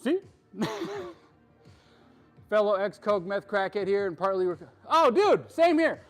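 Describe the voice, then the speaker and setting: High-voiced hyped-up male fitness coach. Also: big pitch swings, measured pace, soft background music. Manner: hyped, joking, bro-style coaching talk. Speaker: fitness coach and live-stream host. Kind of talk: live workout stream, chatting with viewers between sets.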